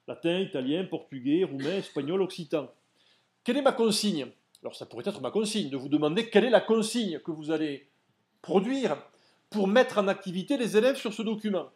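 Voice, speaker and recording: High-voiced lecturer. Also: male voice, expressive, fairly quick, a little mellow. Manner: didactic, addresses audience directly with questions. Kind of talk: academic seminar lecture.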